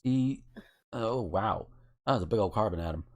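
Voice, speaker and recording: Casual, sweary podcast host, mid-range pitch, very lively intonation, halting read of lists with joking asides. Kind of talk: casual chat, reading out word lists.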